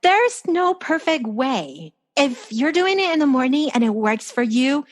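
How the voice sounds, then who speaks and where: Upbeat woman life coach, slightly high voice. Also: big pitch swings, a little slow. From far from the host's country. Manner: upbeat, encouraging, tags "right?" onto points. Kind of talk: casual interview chat. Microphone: remote call.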